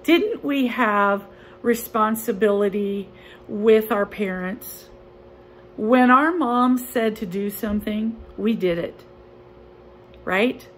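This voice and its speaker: A woman in her sixties, a pastor preaching a sermon from her living room into a close-up phone camera, speaking slowly and earnestly in a conversational way with a few fillers. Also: medium pitch, a little hiss or hum, rough recording. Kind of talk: home-recorded sermon. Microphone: phone or webcam close up.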